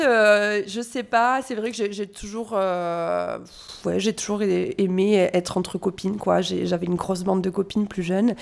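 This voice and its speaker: A woman's voice: medium pitch, creaky. A woman in her thirties from France, a doula, talking casually in an interview, hesitant with many fillers and restarts, recorded in a small family café. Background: family chatter in the café.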